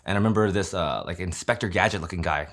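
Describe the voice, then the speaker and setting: Man in his late thirties, low voice, casual and candid telling his story. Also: fast, a bit bright. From Kansas City, Missouri, USA. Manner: conversational, often adds tag questions and fillers. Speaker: interviewee. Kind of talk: personal storytelling interview.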